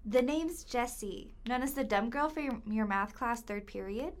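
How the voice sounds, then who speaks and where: A young woman playing a blithe, creepy high school kidnapper in a student audio drama, casual and taunting. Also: a little slow, close to an everyday voice. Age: young adult.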